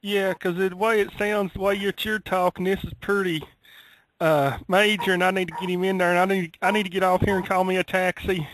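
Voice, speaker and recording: A man voicing a naive, worried rural Southern US caller who rambles in a folksy, slow-witted way on a prank phone call. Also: high voice, flat delivery, fairly bright tone. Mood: earnest, flustered.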